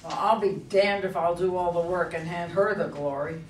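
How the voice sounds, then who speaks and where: A woman's voice: lowish voice, normal speed, slight background noise, natural voice. Recorded from a distance in an echoey room, an actress plays an older woman in politics, proud and combative. She speaks in an indignant, emphatic stage-play manner. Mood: bitter. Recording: echoey room, far from mic.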